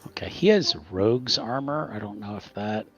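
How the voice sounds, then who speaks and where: Soft, apologetic tabletop player, husky voice on a dull lo-fi mic. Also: medium pitch, moderate pace. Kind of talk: casual game talk.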